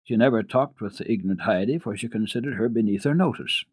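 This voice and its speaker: A man narrating a children's classic as a radio storyteller, in a measured, expressive, formal reading. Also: on the low side, breathy edge, no echo.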